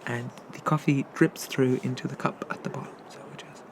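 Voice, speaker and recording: A performer playing a friendly, bashful monster barista in a soft, hesitant, gently chatty whispered ASMR roleplay. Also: medium pitch, steady tone, fairly quick, background noise, close to an everyday voice. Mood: gentle, attentive. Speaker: coffee shop barista.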